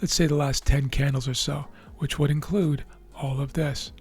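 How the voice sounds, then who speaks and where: A man with a raspy, tired voice, hosting a trading video and explaining live charts in a measured way with frequent fillers and restarts. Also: fairly high pitch, steady tone, a little mellow. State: losing his voice.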